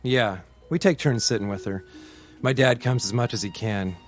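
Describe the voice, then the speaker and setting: An actor playing a friendly but somber neighborhood acquaintance in a radio drama, plain and earnest. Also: male voice, medium pitch, moderate pace, soft background music, natural voice.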